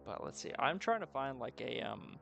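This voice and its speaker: A man's voice: medium pitch, big pitch swings, normal speed, gravelly, a bit bright, muffled recording, soft background music. A man casually thinking aloud on a live creative stream, muttering to himself in a playful, profane way. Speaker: streamer doing 3D art.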